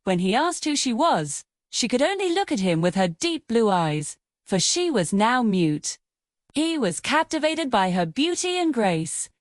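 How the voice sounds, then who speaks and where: Female fairy tale narrator: highish, very expressive pitch, measured dramatic storytelling, dry studio-style sound. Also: no echo.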